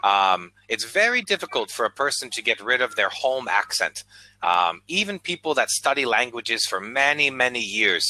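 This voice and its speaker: An American man, an online English tutor, chatting patiently on a video call and speaking slowly and clearly. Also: mid-range voice, sing-song, bright, forceful tone, poor audio. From United States. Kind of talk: casual conversation lesson.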